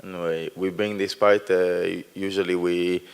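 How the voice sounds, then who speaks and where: A man's voice: deep voice, flat delivery, a little slow, smooth. A man whose first language is Hebrew, speaking English in a post-performance discussion, hesitant and searching for words. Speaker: performer in post-show talk. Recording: reverberant hall.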